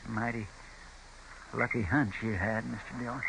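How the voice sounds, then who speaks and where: An actor playing a deferential, talkative frontier deputy with a drawling rural American accent in an old-time radio western. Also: male voice, medium pitch, steady tone, moderate pace, vocal fry, lightly acted. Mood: hushed, grim. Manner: drawling, folksy, eager to agree. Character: folksy, deferential, talkative frontier deputy sidekick, grown man. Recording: noisy old radio recording.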